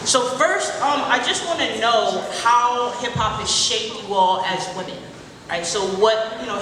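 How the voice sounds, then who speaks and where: Woman moderator in booming hall. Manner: organized, conversational, checks in with "right?". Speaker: panel moderator. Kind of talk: moderating a panel. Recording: echoey hall from far off with noise.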